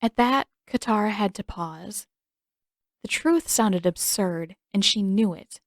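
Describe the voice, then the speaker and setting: A woman narrates fiction as an audiobook reader. Her voice has a middling pitch and the sound is dry and close, with hardly any echo. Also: slow. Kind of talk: scripted story narration.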